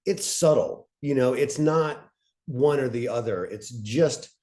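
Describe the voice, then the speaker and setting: Mellow man explaining in an informal talk. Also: mid-range voice, expressive, measured pace. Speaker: panelist, fiction podcast director.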